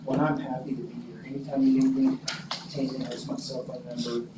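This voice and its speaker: A man with an Oklahoma accent, explaining things in a relaxed, folksy way as a guest at a meeting. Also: medium pitch, a little fast. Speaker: guest presenter. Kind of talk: casual explanation. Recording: echoey room, distant mic, noisy.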